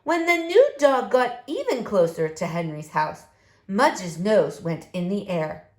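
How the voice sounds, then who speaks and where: Female storybook reader for young kids: very lively pitch swings, slow deliberate phrasing, roomy sound. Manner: expressive, emphatic, deliberate phrasing. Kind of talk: animated read-aloud story narration for young children. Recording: roomy echo, faint background noise.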